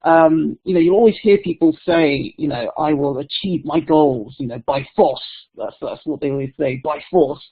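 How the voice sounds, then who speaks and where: A woman's voice: low voice, moderate pace, husky. A young woman, an author, answering questions thoughtfully in an online discussion, with lots of "you know" fillers. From England, near London. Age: young adult. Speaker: author guest. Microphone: online call audio. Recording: tinny phone-like line.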